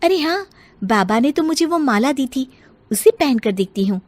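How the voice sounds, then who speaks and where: A woman voicing a sly, sweet-spoken young daughter-in-law in a moral story, with expressive delivery. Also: sits high, normal speed, no echo. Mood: musing. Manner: expressive, musing aloud.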